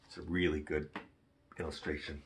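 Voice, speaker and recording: A man talking casually and gently as he introduces and comments on a storybook he is reading aloud. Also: very deep voice, little pitch movement, moderate pace, gravelly, background noise. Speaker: storybook reader.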